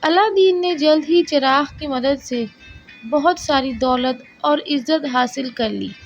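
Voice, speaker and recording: A woman tells a scripted children's story in a high voice. Her delivery is unhurried, and the slightly dull recording has music underneath. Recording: slightly dull, lo-fi narration track over music. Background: soft background music.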